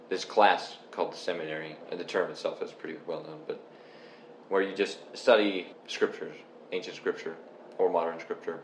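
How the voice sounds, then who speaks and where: A young man from Canada chatting casually and explaining things step by step in a relaxed, rambling way. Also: sits low. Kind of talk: casual chat. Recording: echoey room with faint noise.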